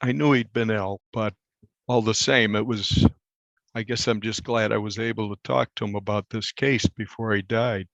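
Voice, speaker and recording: An older man, a reporter, recalling a story in a conversational interview with measured, halting phrases. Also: lowish voice, fairly even intonation, gravelly, fairly bright tone, dry recording, muffled recording.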